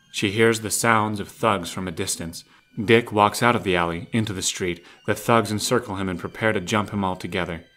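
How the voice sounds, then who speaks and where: A man's voice: lowish voice, fairly bright tone. A man reading scripted narration as the narrator of an audio drama.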